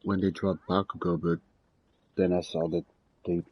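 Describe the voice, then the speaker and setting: A young man chatting casually while playing a game, halting and often trailing off mid-thought. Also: sits low, flat delivery. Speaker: game streamer. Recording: dull lo-fi mic, game music behind.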